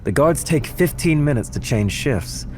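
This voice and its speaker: An actor playing a cunning, confident mastermind in a historical Chinese game drama, talking smoothly and persuasively. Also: male voice, mid-range voice, lively intonation, normal speed, darkish tone, faint music behind, natural voice. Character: cunning, persuasive man masterminding a palace heist.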